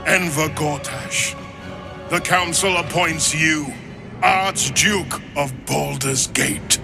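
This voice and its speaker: A man's voice: fairly high pitch, steady tone, slow, gravelly. An actor playing a solemn male official in a fantasy game, proclaiming formally in archaic, stately language. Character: solemn male official administering a ceremonial oath. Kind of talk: archaic ceremonial game dialogue. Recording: music behind the voice.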